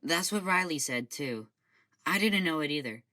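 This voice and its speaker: A woman's voice: deep voice, expressive, moderate pace, bright, forceful tone. A woman playing an earnest, insistent crew member aboard a ship.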